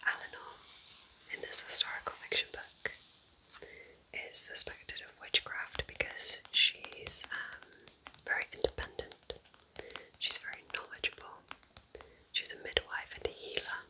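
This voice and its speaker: A woman whispering breathily and gently in an unhurried, chatty ASMR style, as a kind witch recommending books. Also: low-quality recording. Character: kind witch welcoming a visitor to her cabin. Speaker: ASMR roleplay host.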